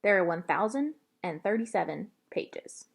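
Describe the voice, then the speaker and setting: Storybook young girl, voiced by a woman: plain-spoken, eager, stubborn, lively intonation. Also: mid-range voice, normal speed, creaky, husky.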